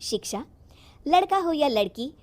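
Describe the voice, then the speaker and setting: Woman storyteller, high voice, reading a scripted kids moral tale. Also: very animated, measured pace, a little mellow. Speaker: story narrator.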